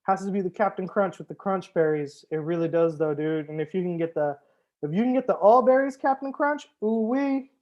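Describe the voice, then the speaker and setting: A man's voice: high voice, brisk, a little mellow, highs cut off. A man hosting a live wine tasting as a sommelier, chatting casually with viewers, joking and swearing now and then. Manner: chats with viewers, answers comments. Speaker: sommelier host.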